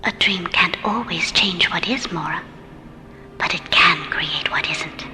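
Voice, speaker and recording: A woman plays a serene alien mystic for a radio sci-fi drama. She is breathy and solemn, measured like a keeper of old legends, floating in long reverb over music. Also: fairly low pitch, lightly acted. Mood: solemn, mystical. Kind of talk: old-time radio science fiction acting.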